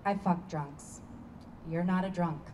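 A woman's voice: fairly low pitch, takes it slowly, darkish tone. A woman in her thirties in a staged play reading, playing a blunt, dismissive, seductive lover with a casual assurance. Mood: insistent, blunt. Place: stage before a painted block wall. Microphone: handheld microphone. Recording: distant stage pickup with ambient noise.

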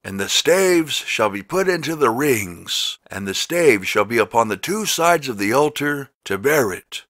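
A man reading scripture as a scripted narration, slowly, with deliberate emphasis on the words. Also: medium pitch, expressive, a bit bright, no echo, studio quality. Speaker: Bible narrator.

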